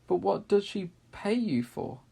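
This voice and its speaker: A man's voice: high-pitched, animated, a little slow, gravelly, mellow, husky, pitched above the performer's own voice, heavily acted. A man voicing a kind, earnest little girl from a storybook, with an emphatic, childlike manner. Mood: curious, shocked.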